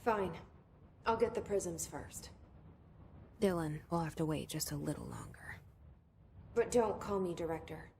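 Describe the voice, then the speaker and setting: A woman's voice: on the low side, measured pace, pauses often, a little mellow, slight background noise. An actress playing a determined, guarded young woman in a video game, with terse, wry dialogue and inner monologue. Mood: firm, resolute. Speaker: game protagonist.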